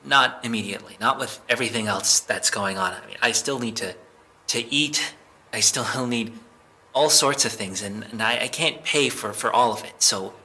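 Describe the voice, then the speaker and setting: A man's voice: medium pitch, bright, forceful tone. A man playing a flustered, defensive young suspect in an audio drama police interrogation, hesitant and rambling as he explains himself.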